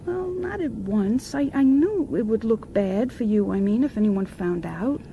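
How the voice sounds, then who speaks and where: A woman's voice: on the high side, animated, measured pace, dark tone, a little hiss or hum, low-quality recording. An actress in a radio drama playing an anxious, guilty wife, hesitant and pleading as she speaks. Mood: hesitant, confessing. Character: anxious, guilt-ridden wife confessing to her husband.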